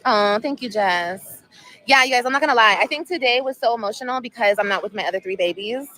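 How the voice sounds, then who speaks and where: A woman's voice: fairly high pitch, fast, pushed and bright, low-quality recording. A woman in her thirties chatting casually on a livestream outdoors at sunset, emotional and rambling, repeating herself often. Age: 30s. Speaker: vlogger.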